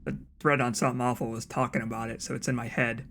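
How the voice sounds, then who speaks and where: A man's voice: medium pitch, flat delivery, fast, gravelly, a bit bright, slight background noise. A man with a soothing voice giving casual, rambling commentary as he plays a game, often restarting his sentences. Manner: rambling, chatty, frequent restarts and asides. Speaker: let's play streamer.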